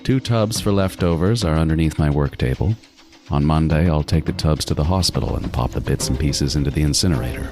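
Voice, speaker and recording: An extremely deep male voice for a cold, obsessive killer, acted by a man, telling his own horror story. He is deliberate and brooding, gloating with quiet menace over clear background music. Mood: cold, methodical. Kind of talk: first-person horror audio drama narration. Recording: music bed under the voice.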